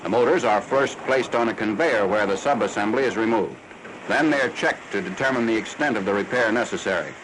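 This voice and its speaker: An American man reading scripted 1940s military newsreel narration in a formal, factual, measured announcer style. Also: fairly low pitch. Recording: noisy 1940s newsreel film soundtrack.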